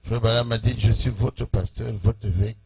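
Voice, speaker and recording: A man pastor greeting a church congregation in French, speaking in short phrases and pausing for his interpreter. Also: lowish voice, steady tone, creaky, slight background noise. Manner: slow, pausing phrase by phrase for the interpreter, chuckling warmly. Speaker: preaching pastor. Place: church hall.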